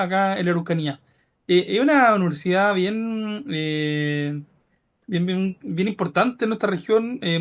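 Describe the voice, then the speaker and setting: Host, Chilean man, courteous and formal interviewer. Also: high-pitched. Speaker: podcast host. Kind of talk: podcast interview hosting.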